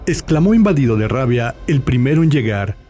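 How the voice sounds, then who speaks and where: A man's voice: mid-range voice, very animated, a little fast, warm tone. Male narrator over prominent music. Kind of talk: dramatic scripted audiobook narration. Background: background music.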